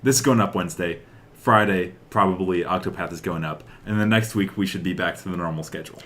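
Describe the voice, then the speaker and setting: A man's voice: deep voice, fast talker, a bit bright. A man co-hosting a podcast, chatting casually and enthusiastically about games and film news, with strong opinions. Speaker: podcast co-host.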